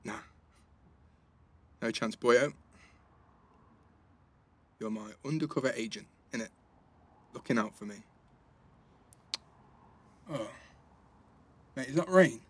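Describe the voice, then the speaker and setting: Give the part to a cheeky teenage boy in an audio drama. His voice is mid-pitched and a bit husky, and he talks in guarded, slangy banter. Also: slow, long pauses. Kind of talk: naturalistic audio drama dialogue.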